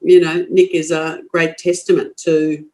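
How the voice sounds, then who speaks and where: A woman's voice: low voice, flat delivery, measured pace, darkish tone. An older woman with an Australian accent from Queensland, a guest in a podcast interview, talking frankly and forthrightly with frequent restarts. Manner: frank, forthright, laughs easily, many restarts.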